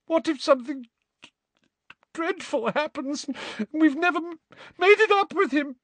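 A man's voice: unhurried, fairly bright tone, no echo. A man voicing a distraught middle-aged mother who sobs and stammers through tears, in an audiobook reading. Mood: sobbing. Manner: stuttering, high and strained. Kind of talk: audiobook character voice.

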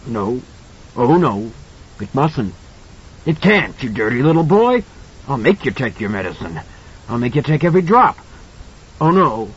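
A man's voice: big pitch swings, measured pace, pauses often, breathy edge, muffled recording. A man voicing a sinister monstrous thing in a man's shape that taunts in a menacing whisper. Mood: panicked. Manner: mocking, menacing whisper turning to shrill panic.

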